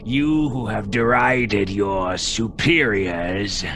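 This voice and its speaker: A man's voice: fairly low pitch, a bit bright, slight background noise. A man playing a sinister, impatient, murderous alter ego in a gothic radio play, with a menacing, theatrical delivery. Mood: menacing, grandiose. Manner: menacing, theatrical, slow and deliberate. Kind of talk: gothic radio drama acting.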